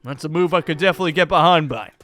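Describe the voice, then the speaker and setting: A man's voice: a little high, very fast, fairly bright tone, no room sound, soft background music, lightly acted. A man playing a laid-back, practical gunslinger in a Minecraft roleplay, talking in a casual, matter-of-fact way. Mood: wry. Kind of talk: improvised Minecraft fantasy roleplay acting.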